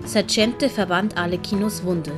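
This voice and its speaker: A woman's voice: mid-range voice, steady tone, normal speed. Swiss German woman narrating over prominent music. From Switzerland. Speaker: narrator and author of an audiobook. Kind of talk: scripted storybook narration. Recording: music behind the voice. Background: music and sound effects.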